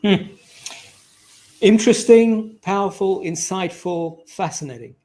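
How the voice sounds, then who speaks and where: A man's voice: sits high, a little slow, warm tone. A man hosting an interview in a conversational, effusive way. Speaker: interviewer, briefing host.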